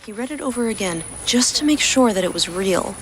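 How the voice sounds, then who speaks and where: A woman's voice: fairly high pitch, expressive, brisk, background noise. A woman reading scripted fiction as the narrator of an audio story. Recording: music behind the voice. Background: background music.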